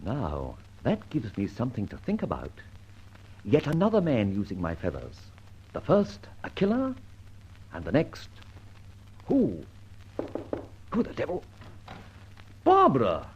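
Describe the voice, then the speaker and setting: Radio detective serial: flippant British gentleman rogue, mid-pitched and very animated, teasing, through hissy lo-fi sound. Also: a little slow, pauses often. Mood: musing. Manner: teasing, droll, urbane. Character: suave, flippant gentleman adventurer with a secret identity. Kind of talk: old-time radio detective drama acting. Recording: dull, noisy old radio recording.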